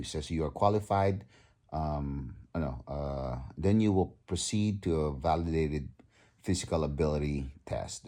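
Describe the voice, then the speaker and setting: Very deep husky man in his fifties. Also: dark tone. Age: 50s. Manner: halting, many ums and restarts. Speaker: vlogger. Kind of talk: casual vlog talk.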